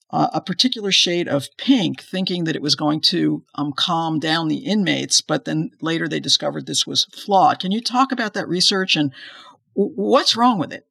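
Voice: mid-range voice, gravelly, bright, forceful tone, slightly rough, no echo. A podcast host asking curious, conversational interview questions.

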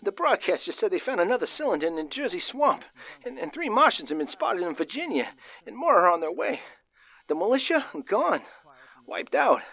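An actor playing a nervous, excitable young working man of the 1930s, stammering and using folksy slang, in a radio drama. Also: high voice, normal speed, exaggerated voice, rough recording. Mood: panicked, despairing. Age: young adult. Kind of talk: old-time radio drama acting.

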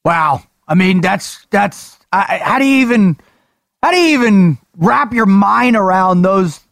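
A man hosting a podcast, excitable and emphatic, with announcer-style flourishes. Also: high voice, unhurried, gravelly, no echo, studio quality. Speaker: podcast host. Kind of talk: energetic podcast banter.

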